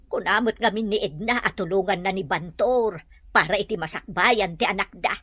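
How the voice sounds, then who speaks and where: A woman's voice: mid-range voice, normal speed, a bit bright, slightly rough, no room sound, studio quality. An actress playing a concerned, nagging mother of a grown son in a radio drama, insistently questioning. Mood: worried.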